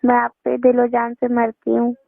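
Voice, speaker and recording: A tinny phone recording carries a young woman's high voice. Her intonation stays flat and even. She is insistent and unflustered in a personal conversation. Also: moderate pace, gravelly, faint music behind. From northern India. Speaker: phone caller. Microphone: telephone.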